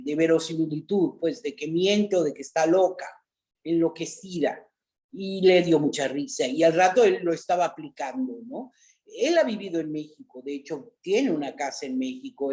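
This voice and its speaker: A middle-aged woman with a Mexican accent, an actress chatting as an interview guest, telling stories in an animated way and often adding a questioning "¿no?". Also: fairly low pitch. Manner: animated storyteller, frequent "¿no?" tags. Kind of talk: casual interview chat.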